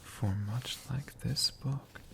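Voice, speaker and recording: A storyteller whispering a tale for ASMR in a breathy, hushed voice, with dramatic phrasing and long pauses. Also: mid-range voice, steady tone, slight background noise. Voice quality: breathy, mostly unvoiced whisper.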